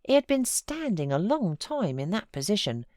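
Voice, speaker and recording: A British woman narrating classic literature as an audiobook, with measured, expressive storytelling. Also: mid-range voice, no echo, studio quality. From United Kingdom. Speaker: audiobook narrator and voiceover artist.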